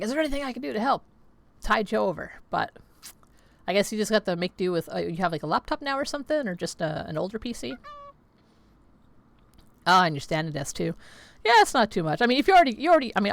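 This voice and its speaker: Young adult woman, casual livestream host, slightly scratchy, lower voice, chatty and meandering. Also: gravelly, fairly bright tone, dry recording. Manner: rambling, filler-heavy, chatty. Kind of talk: casual chat with viewers. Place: home streaming setup with green screen.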